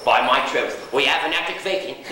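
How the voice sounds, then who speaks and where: A man's voice: fairly high pitch, a little fast, bright, forceful tone. A man in a comic staged reading playing a foppish, rebellious son, affected and exclamatory with quaint turns of speech. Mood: delighted, silly. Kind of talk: theatrical comic play reading. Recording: distant, very echoey stage, audience noise.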